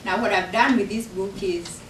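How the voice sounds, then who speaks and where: A woman's voice: mid-range voice, moderate pace, recorded from a distance, a little hiss or hum. A woman from Kenya with a Kenyan accent, an author and professor talking earnestly about her book to an audience in a university function room. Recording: echoey room recorded from afar.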